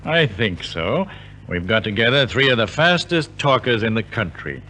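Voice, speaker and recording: A businesslike man organising a contest in vintage radio drama style. He is courteous, with a bright, crisp edge and lively intonation at an ordinary male pitch. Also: normal speed, rough recording. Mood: brisk, assured. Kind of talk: 1950s radio drama acting.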